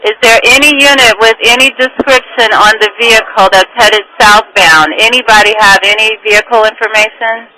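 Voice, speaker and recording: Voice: mid-range voice, moderate pace, hardly any pauses. A police radio dispatcher speaking in a clipped, procedural way and repeating details over a two-way radio. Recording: noisy police scanner radio.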